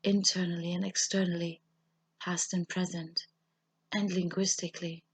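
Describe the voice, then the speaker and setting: A woman with a German accent, a native German speaker, reads a literary personal essay in a measured, solemn, husky and slightly breathy voice. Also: fairly low pitch, flat delivery. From German-speaking Europe. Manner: measured, solemn, deliberate phrasing with pauses. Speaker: writer reading her own essay.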